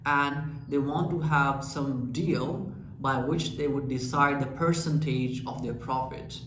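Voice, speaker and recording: A man's voice: mid-range voice, steady tone, slow, fairly bright tone. A man with a Pakistani accent teaching an English lesson, explaining earnestly and asking rhetorical questions. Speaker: English teacher and channel founder. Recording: echoey room with faint ambient noise.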